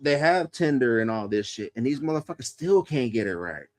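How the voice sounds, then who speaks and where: A man's voice: mid-range voice, big pitch swings, a little fast, a crowd in the background. A man in his thirties hosting a podcast, talking loosely and profanely and laughing often. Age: 30s. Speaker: podcast host. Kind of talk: casual podcast chat.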